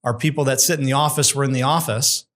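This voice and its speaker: A middle-aged man, a construction executive, speaking in a measured, candid and thoughtful way as a guest in an interview. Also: medium pitch, fairly even intonation, a bit bright. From United States. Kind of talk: conversational interview.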